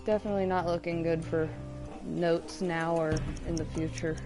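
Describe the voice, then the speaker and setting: A woman's voice: on the low side, steady tone, moderate pace. Casual woman streamer talking over game music. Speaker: host of a gaming livestream. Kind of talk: casual chat while playing a video game. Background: loud game music.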